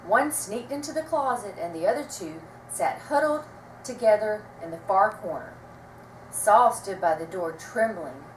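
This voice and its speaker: A woman reading a children's story aloud with expressive, dramatic storytelling delivery. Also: medium pitch, measured pace. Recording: roomy, distant mic, faint noise.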